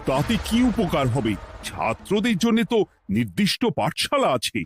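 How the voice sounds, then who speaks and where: A trusting cartoon king with a lively, expressive voice, issuing decrees in a commanding tone. Clear music runs underneath. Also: sits high, normal speed. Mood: regal. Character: middle-aged male king, trusting, commanding. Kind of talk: cartoon voice acting.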